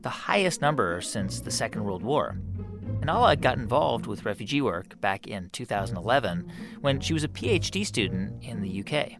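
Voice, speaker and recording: A man's voice: fairly low pitch, very animated, normal speed. A man hosting a radio show, narrating and putting questions in an interview style. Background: music bed.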